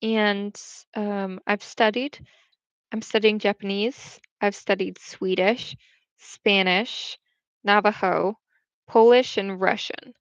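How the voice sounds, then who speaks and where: A woman's voice: medium pitch, fairly even intonation, takes it slowly, no room sound. A young woman hosting a casual livestream, chatting and reading out viewer comments, rambling between English and French. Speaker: livestream host. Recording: dull, dry home stream audio.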